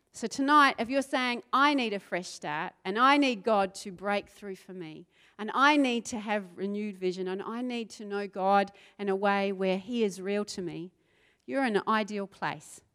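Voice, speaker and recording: A woman's voice: mid-range voice, fairly bright tone, clean tone. A woman with a New Zealand accent preaching a sermon, talking with the crowd and building to fervent passages. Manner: builds to fervent, rising intensity; asks the crowd questions, conversational asides.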